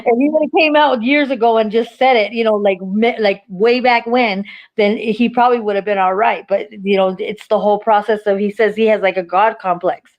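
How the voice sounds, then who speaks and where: A woman's voice: mid-range voice, fairly even intonation, a little fast. A woman chatting casually on a livestream, rambling with lots of fillers and restarts. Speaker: livestream co-host. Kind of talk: casual chat. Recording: dull lo-fi stream audio.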